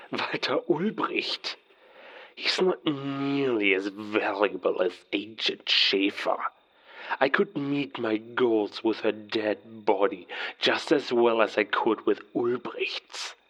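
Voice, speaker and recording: An actor playing a cold, taunting werewolf villain in a cinematic audio drama, speaking deliberately and threateningly through a radio filter. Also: male voice, fairly low pitch, bright, forceful tone, exaggerated voice. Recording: muffled lo-fi military radio transmission.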